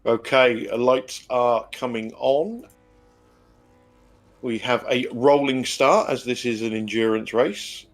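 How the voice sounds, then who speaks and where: A man commentating a sim race in a calm, matter-of-fact way. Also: mid-range voice, slow pace.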